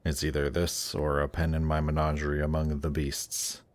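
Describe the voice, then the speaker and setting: A man playing a seductive demon prince in an intimate roleplay audio drama, teasing and coaxing in an unhurried way. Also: rumbling low, completely flat delivery. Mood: taunting, menacing.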